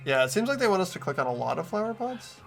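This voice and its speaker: Man, game host and narrator, fairly high pitch, some fry, casual but expressive, faint music behind. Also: very fast. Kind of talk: casual commentary mixed with expressive script reading.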